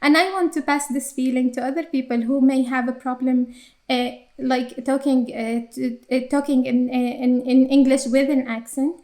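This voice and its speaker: A young woman with an Arabic accent speaks in a high register. She answers interview questions casually and hesitantly, pausing on fillers and restarting her sentences. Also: steady tone, darkish tone. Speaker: interviewee, company employee.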